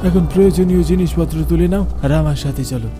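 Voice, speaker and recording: Picture a man putting on a young male trekker in an animated horror cartoon, calm and reassuring. His voice sits well above a plain speaking pitch, bright and coaxing. Loud music plays behind him. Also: fast, close to an everyday voice. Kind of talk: cartoon voice acting.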